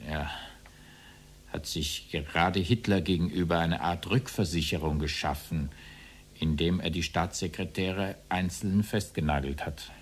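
An actor playing an evasive, deferential former SS officer testifying in formal, roundabout sentences in a radio play. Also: male voice, deep voice, breathy edge. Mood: justifying. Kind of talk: radio play courtroom dialogue.

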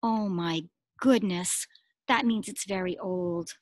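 A middle-aged woman, a preschool teacher, reads a story aloud expressively, pausing to explain simply and ask small children questions. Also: lowish voice, dry recording, clean studio sound. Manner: expressive, addressing small children with simple words and emphatic repetition. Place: outdoors in a garden.

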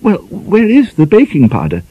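Genteel lady, voiced by a man, high and husky, fussy, flustered, hesitant, audiobook character. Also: wildly swooping pitch, moderate pace, dry recording, lo-fi sound, exaggerated voice. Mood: dithering, reproachful. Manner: dithering, hesitant, fussily polite. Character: fussy, flustered genteel spinster lady scolding her maid.

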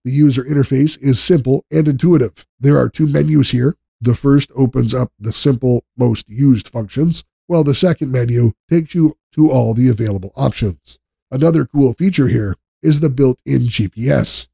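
A male narrator delivers a scripted product review in a measured, polished manner. His voice is mellow and relaxed, with a noticeable fry at the edges. The recording is dry and close, free of room sound. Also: mid-range voice, dry recording.

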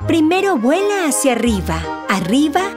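A woman narrating a scripted children's story in an expressive, deliberate storytelling manner. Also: a little high, music in the background.

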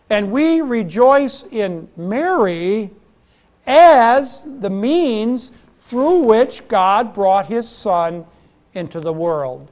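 A middle-aged American man preaching a sermon in church, deliberate and emphatic, in short phrases with pauses. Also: high-pitched, darkish tone. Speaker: Lutheran pastor. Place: church, indoors at a pulpit.

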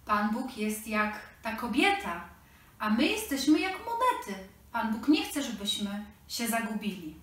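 A middle-aged woman speaks to children as a storyteller. Her voice is fairly high and very unhurried, recorded with roomy echo a little away from the mic. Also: big pitch swings, recorded from a distance. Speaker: storyteller and children's lesson presenter.

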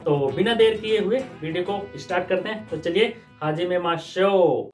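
A man's voice: high voice, normal speed, soft background music. A man in his thirties from India, chatting casually and with animation as he unboxes groceries for his vlog, using his hands as he talks. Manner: chatty, animated, gesturing while talking. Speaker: vlogger. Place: indoor room, green screen and purple backdrop.

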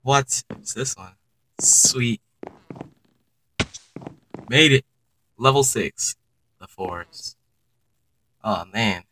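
A man's voice: mid-range voice, expressive, extremely slow, long pauses, bright, forceful tone, a little hiss or hum, low-quality recording. A young man giving casual gameplay commentary for his channel, chatting to viewers and reacting aloud as he plays. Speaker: gaming YouTuber.

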